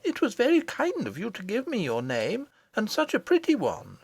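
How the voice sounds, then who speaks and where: A woman's voice: mid-range voice, huge pitch swings, moderate pace, a little mellow, breathy edge, no echo, voice pushed up, exaggerated voice. A woman voicing an innocent, curious little princess in a storybook audiobook, with eager, frank, childlike questions. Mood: polite, surprised.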